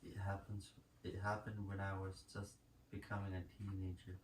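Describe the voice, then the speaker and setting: A man's voice: low voice, completely flat delivery, normal speed. A man reading an elder's life story aloud as a narrator. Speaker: reader at a school story sharing. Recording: echoey room, distant mic, faint noise.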